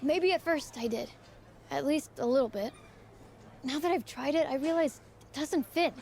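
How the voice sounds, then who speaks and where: Young female wrestler, game cutscene: principled, earnest, plucky, high voice, slight background noise. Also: moderate pace, a little mellow. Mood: firm, rousing. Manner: earnest, emphatic, colloquial. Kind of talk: video game cutscene acting.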